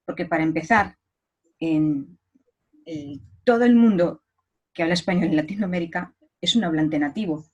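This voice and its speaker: A woman's voice: lowish voice, animated, normal speed, breathy edge, highs cut off. Woman hosting an interview. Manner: reflective, hedging with "yo creo". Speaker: interview host. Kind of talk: conversational interview.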